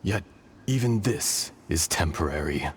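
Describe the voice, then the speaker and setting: An actor playing an ancient dragon spirit, a grave and fatalistic old friend who speaks in solemn, formal phrases in a video game. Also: male voice, deep voice, expressive, a little slow, breathy edge, full character voice. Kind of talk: dramatic video game dialogue. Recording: background music under the voice.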